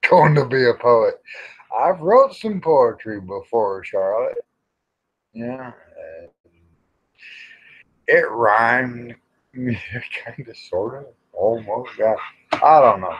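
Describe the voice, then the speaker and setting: Middle-aged man, very slow folksy rambling, mid pitch, casual livestream chat, muffled lo-fi sound. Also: animated, slightly rough. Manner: folksy, rambling, salty-tongued. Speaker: livestream host. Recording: dull lo-fi livestream audio.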